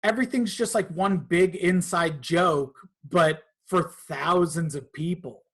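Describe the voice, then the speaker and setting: A man's voice: sits high, measured pace, fairly bright tone. A man talking casually as a podcast guest, in an informal and slightly hesitant way. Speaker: podcast guest, content creator.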